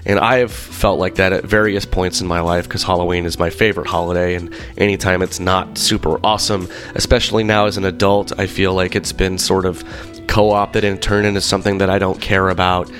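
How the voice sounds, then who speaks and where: A man hosting a podcast in casual chat, talking with many fillers and a slightly rough voice, congested from being sick. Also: low-pitched, brisk, hardly any pauses, soft background music. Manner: rambling, animated storyteller with many fillers. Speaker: podcast host.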